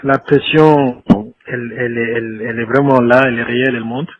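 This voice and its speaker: A man's voice: medium pitch, steady tone, fast, a little hiss or hum. A man giving an informal briefing over a tinny phone line, speaking hesitantly and deferentially, with many repeated words. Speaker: former government official briefing by phone. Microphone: telephone.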